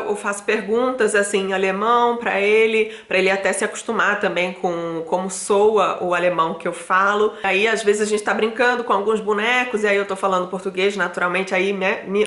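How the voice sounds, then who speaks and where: A woman's voice: medium pitch, fairly bright tone. Chatty lady in her 30s from brazil, vlogging at home, roomy echo, lots of um and so. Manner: chatty, many fillers and restarts. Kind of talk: casual talk to camera. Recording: echoey room.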